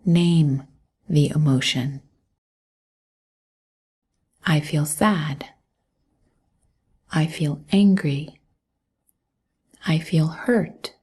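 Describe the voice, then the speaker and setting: Meditation guide, female. Very slow, breathy delivery, gentle and calm, often close to a whisper. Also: low voice. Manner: gentle, calm, unhurried instruction with long pauses. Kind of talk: guided mindfulness meditation, scripted narration.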